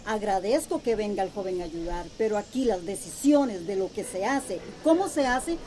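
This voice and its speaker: A woman's voice: mid-range voice, expressive, moderate pace, mellow, a little hiss or hum, natural voice. A woman playing an outspoken villager at a community meeting in a radio drama. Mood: objecting.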